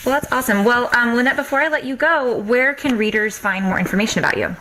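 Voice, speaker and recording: A woman's voice: fairly high pitch, animated, quick pace, pushed and bright, rough recording. A woman hosting a podcast and interviewing a guest in a casual, conversational style.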